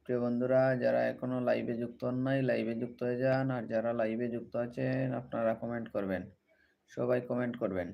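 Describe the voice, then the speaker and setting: A man's voice: medium pitch, fairly even intonation, fast, creaky. A man hosting a casual livestream, greeting viewers and repeatedly appealing to them to take part. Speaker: livestream host. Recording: dull lo-fi livestream audio.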